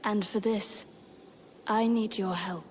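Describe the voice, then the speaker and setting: A woman playing a solemn, composed priestess in a video game, speaking in a formal and measured way. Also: medium pitch, steady tone, darkish tone, a little hiss or hum, close to an everyday voice. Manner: formal, measured, grave delivery. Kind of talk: scripted video game dialogue.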